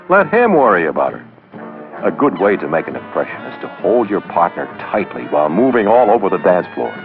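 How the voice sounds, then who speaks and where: Deep guy doing 60s instructional film narration, wry, swoopy delivery, muffled old soundtrack. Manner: instructive, wry and sarcastic asides. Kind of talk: 1960s training film narration. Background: background music.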